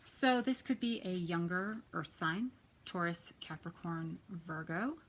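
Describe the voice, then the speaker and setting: A woman in her thirties with a quiet, soft voice, chatting casually as she gives a tarot reading. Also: lowish voice, measured pace, slight background noise. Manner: chatty, lots of ums and likes. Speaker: tarot reader.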